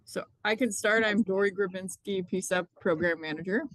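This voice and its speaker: A program manager opens a public meeting over a video call, calling on members in a brisk, orderly way. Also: mid-range voice, fairly bright tone, no echo, low-quality recording.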